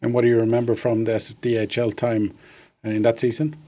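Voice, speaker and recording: A man works as a podcast interviewer, putting questions conversationally. His voice is dark and mellow, at an average pitch for a man. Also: completely flat delivery, a little fast.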